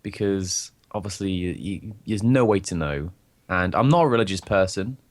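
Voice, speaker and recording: A croaky-voiced young man chatting openly and rambling as co-host of a casual podcast. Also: deep voice, expressive, moderate pace. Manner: rambling, open, laughs often. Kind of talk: casual chat.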